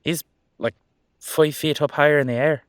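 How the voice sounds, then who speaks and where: A man's voice: a little high, fairly even intonation, moderate pace, no room sound, studio quality, pitched above the performer's own voice, natural voice. Man playing a cheeky, easygoing immortal horseman. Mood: exasperated, insistent. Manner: casual, rambling, reassuring. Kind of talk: roleplay audio drama.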